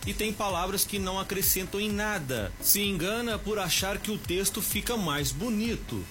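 Bright-voiced Brazilian man teaching slowly. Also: fairly high pitch. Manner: slow, deliberate, didactic. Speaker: Portuguese teacher on radio. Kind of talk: scripted radio language tip.

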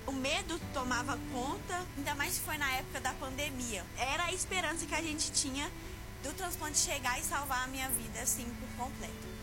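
A woman's voice: high-pitched, moderate pace, over music. An eighteen-year-old woman recalls her own story in a plain, emotional way. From Belo Horizonte, Brazil. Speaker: testimonial in an ad. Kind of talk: personal testimony.

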